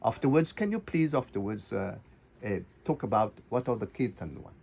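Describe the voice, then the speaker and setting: A man hosting an informal radio interview, speaking haltingly and rephrasing as he goes. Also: mid-range voice, expressive, vocal fry, darkish tone, a little hiss or hum. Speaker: radio host. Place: radio studio.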